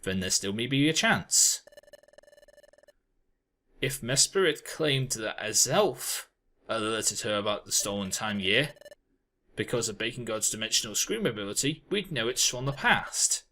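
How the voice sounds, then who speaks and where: Someone putting on a deep, slow ghost detective. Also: animated, pushed and bright, heavily acted. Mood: reasoning. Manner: slow, deliberate, reasoning aloud. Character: large calm ghost detective, formal and measured.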